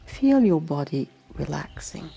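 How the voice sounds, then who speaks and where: A woman leads a guided meditation in a very slow, soft-edged mellow voice. There is a noticeable creak in her tone, and she leaves space between short, gentle phrases. Faint music plays behind her. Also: deep voice, sing-song. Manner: gentle, unhurried instructions in short phrases with long pauses. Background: music and nature sounds.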